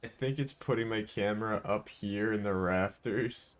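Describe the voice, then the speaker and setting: A man's voice: lowish voice, moderate pace. A man livestreaming a game with casual, offhand commentary and muttered asides. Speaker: game streamer.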